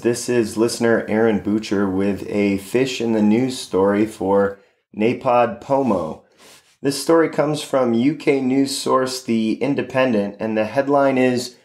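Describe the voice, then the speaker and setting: Man reading an amateur news story, mid-pitched. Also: a little mellow. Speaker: podcast listener contributing a news segment.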